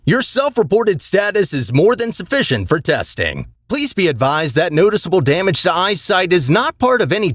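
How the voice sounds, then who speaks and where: A formal, detached automated announcer for a video game. The delivery is officious and deadpan, like scripted public-address instructions, at a middling pitch in a very dry recording. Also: no room sound. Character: calm formal automated facility announcer reading test instructions.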